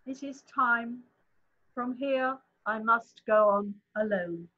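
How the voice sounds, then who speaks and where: A woman playing a grave, gentle, sorrowful great lion in a screenplay read-through. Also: fairly high pitch, slow pace, highs cut off. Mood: solemn, gentle.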